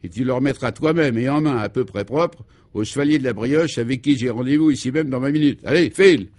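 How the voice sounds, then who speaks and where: A man's voice: medium pitch, a little fast, darkish tone, no echo, close to an everyday voice. A man playing a bossy conspirator in a comic radio play, commanding, pompous and exacting as he gives absurd orders. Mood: urgent, commanding.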